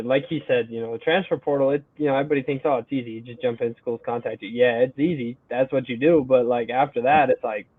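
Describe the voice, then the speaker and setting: A man's voice: mid-range voice, dry recording. A young man hosting a college sports podcast in a casual, upbeat way. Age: young adult. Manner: upbeat, energetic intro then relaxed. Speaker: podcast host. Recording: tinny phone-like line, dry.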